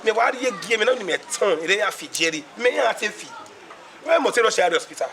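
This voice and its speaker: An actor playing a young man trying to calm his girlfriend, speaking with a Nigerian accent in a pleading way. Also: sits high, fast, vocal fry, a little hiss or hum, heavily acted. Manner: pleading, soothing.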